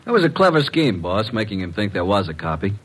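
An actor playing a brisk, wry, wisecracking assistant to a detective in a 1950s radio drama. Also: male voice, lowish voice, very animated, husky. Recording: very noisy, clicking old radio recording.

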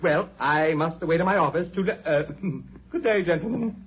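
A man's voice: on the high side, normal speed, a little hiss or hum, natural voice. An actor playing a fussy, pompous, self-satisfied male doctor with a blustering manner in a 1940s radio drama. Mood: smug, pompous. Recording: tinny old radio recording.

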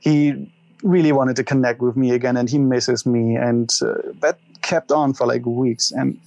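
A young man with a German accent speaks as an interview guest, open about himself and often pausing to find his words. His voice carries a gravelly fry. The sound is dry and close, with no room around it. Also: medium pitch, steady tone, darkish tone, dry recording, low-quality recording. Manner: open, halting, laughs at himself. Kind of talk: candid personal interview.

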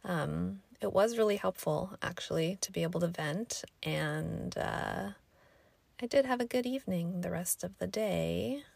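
Raspy-voiced woman podcaster, low and gravelly. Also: a little slow, darkish tone, no room sound. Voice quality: raspy, scratchy voice. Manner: thoughtful, rambling, many fillers. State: hoarse from allergies. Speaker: podcast host. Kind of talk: casual solo podcast monologue.

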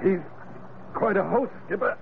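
An actor playing a gruff, excitable seaman, a blunt wisecracking sidekick in an old-time radio drama. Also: high-pitched, measured pace. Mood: puzzled. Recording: muffled, noisy vintage radio recording.

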